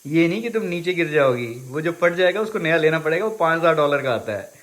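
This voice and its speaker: A man's voice: fairly high pitch, lively intonation, fast talker, fairly bright tone. A man in a family vlog, chatting casually and making dry, teasing remarks. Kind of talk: casual chat.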